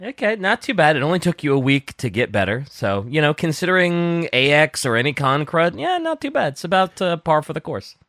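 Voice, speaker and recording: Bright-voiced man co-hosting a podcast. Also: a little high, very animated, no echo, studio quality. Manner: upbeat, jokey, reads ad plugs quickly. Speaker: podcast co-host. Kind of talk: casual podcast chat.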